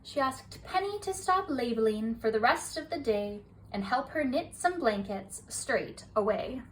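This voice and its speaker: A woman reading a children's bedtime story aloud in a soft, rhythmic rhyming delivery. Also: a little high, lively intonation, unhurried. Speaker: storybook reader. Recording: distant mic, faint hiss.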